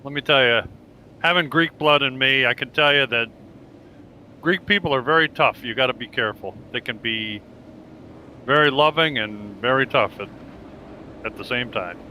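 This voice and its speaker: A man's voice: mid-range voice, moderate pace, pauses often, sharp tone, slight background noise, poor audio. A talkative man chatting and laughing as a co-commentator on a race stream. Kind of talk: casual chat.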